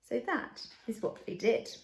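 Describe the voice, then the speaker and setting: A woman's voice: a little slow, a little hiss or hum. A woman reading a children's story aloud expressively, like a teacher addressing young listeners, in an echoey room.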